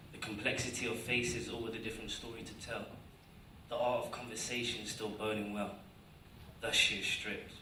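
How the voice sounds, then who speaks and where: A man's voice: mid-range voice, bright, forceful tone, slight background noise. A young man reading his own poem aloud at an event with a rhythmic, declamatory delivery. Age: young adult. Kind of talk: poetry reading. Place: indoor event room. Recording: roomy hall, distant mic.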